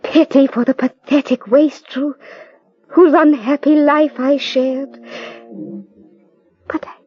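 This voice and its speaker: An actress playing a gentle, devout married woman in melodramatic old radio drama, with a breathy, halting, emotional delivery. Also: high-pitched, steady tone, dry recording. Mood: fervent. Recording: muffled vintage radio recording, music behind.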